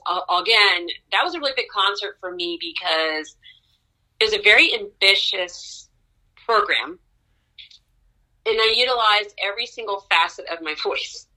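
A young adult woman, an opera singer, telling stories from her career in an interview with lively laughs and excited rises in pitch. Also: poor audio. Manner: animated storyteller, laughs often, pitch jumps up in excitement. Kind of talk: conversational interview storytelling.

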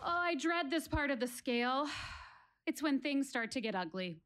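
An actress playing a candid, wry, exasperated woman presenting life's questions in a theatrical one-woman audio play, addressing her audience emphatically with dramatic pauses. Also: high-pitched, lively intonation, fairly bright tone, faint music behind. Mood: dreading, reluctant.

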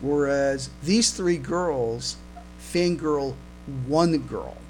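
A man co-hosting a casual fan podcast, talking haltingly and often repeating and restarting his words. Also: a little high, a little mellow, low-quality recording. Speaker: podcast co-host. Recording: clear background noise, mains hum.

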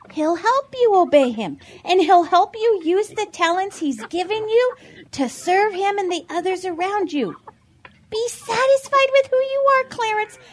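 Earnest chicken for a kids audio play, tiny high voice, slow and really swoopy. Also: female voice, heavily acted. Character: sweet earnest female farm chicken. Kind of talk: children's audio drama acting.

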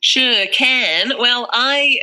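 A woman's voice: a little high, very slow, sharp tone, slight background noise, low-quality recording. A woman in her forties from northeast England with a Northeast English accent, a guest talking candidly in a casual interview, full of fillers and restarts.

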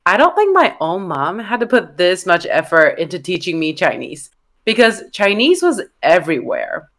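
A woman's voice: lowish voice, a bit bright. A woman in her thirties from Taiwan, co-hosting a podcast and explaining things in an earnest, casual way. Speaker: podcast co-host. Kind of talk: casual scripted chat.

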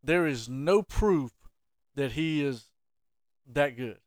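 A man co-hosting a podcast, talking sports in an animated, joking way and quizzing his co-host. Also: medium pitch, slow pace, no echo, studio quality. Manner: animated, jokey, plays the interviewer. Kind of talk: casual sports chat.

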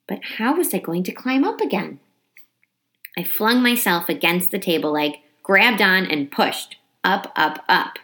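A woman reading a children's storybook aloud with dramatic, animated delivery and emphasized words. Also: on the low side. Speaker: teacher reading a book aloud. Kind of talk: expressive children's storybook narration.

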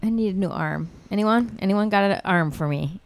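A woman's voice: mid-range voice, moderate pace, slight background noise. A woman co-hosting a casual podcast chat, telling stories with lots of laughter and frequent swearing. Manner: animated storytelling, swears freely, laughs often. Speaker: podcast co-host.

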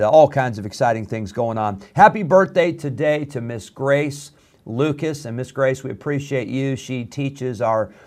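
Folksy pastor hosting radio. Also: male voice, medium pitch, darkish tone. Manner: friendly, rambling, many fillers. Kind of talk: casual radio broadcast announcements. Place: church studio room.

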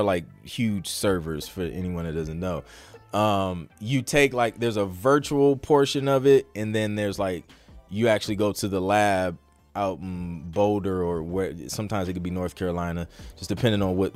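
A man's voice: fairly low pitch, no echo. An American man hosting a podcast, chatting casually through a live Q&A in an informal, slangy, rambling way. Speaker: podcast host.

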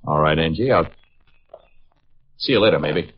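An actor playing an earnest, bitter, lovesick young student, sullen and intense, in a 1950s radio drama. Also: male voice, very deep voice, animated, normal speed, slight background noise. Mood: bitter, hurt. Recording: muffled vintage radio recording.

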